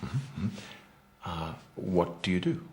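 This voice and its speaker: A man's voice: deep voice, expressive, slow pace, full character voice. A man playing a curious private investigator questioning a client, hesitant with lots of ums and mm-hmms. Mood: inquisitive. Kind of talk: scripted dialogue for a language lesson. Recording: roomy echo.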